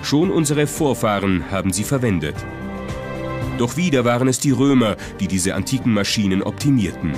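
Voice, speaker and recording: Low-voiced documentary narrator, man. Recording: music behind the voice. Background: music.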